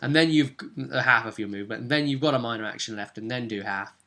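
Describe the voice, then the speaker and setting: A man speaking as game master, casually recapping a tabletop role-playing session in an animated, explanatory way. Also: mid-range voice, fast.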